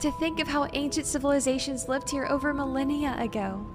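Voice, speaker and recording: A woman acts out a bookish young archaeologist in an audio drama. She has a high voice and a polite, precise, earnest way of explaining, over clearly audible music. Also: brisk. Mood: earnest, absorbed. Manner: precise, formal, eager to explain.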